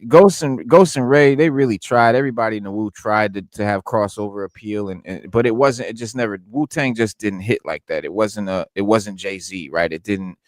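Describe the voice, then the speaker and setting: A man's voice: on the low side, normal speed, few pauses, no echo. A man in his forties co-hosting a casual hip-hop chat, emphatic and loose, with plenty of profanity and stammered restarts. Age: 40s. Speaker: podcast co-host.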